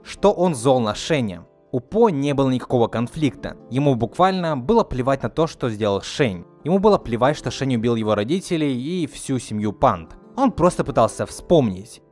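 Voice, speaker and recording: A man's voice: mid-range voice, darkish tone. A young man narrating an opinionated film critique in an argumentative, emphatic way. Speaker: video essayist. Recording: music behind the voice. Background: faint background music.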